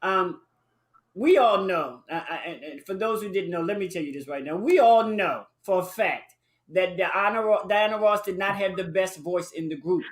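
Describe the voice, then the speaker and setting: A woman's voice: on the low side, normal speed. A woman chatting candidly on a live show, blunt and cheeky. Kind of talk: casual live chat.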